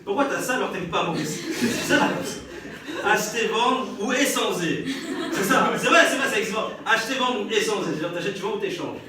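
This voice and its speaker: A man's voice: sits high, animated, brisk, crowd noise. A young man with a French accent doing live stand-up storytelling, chatting and bantering with the audience and laughing often. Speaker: stand-up comedian. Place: live comedy stage before an audience. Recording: echoey live room, distant mic, audience noise.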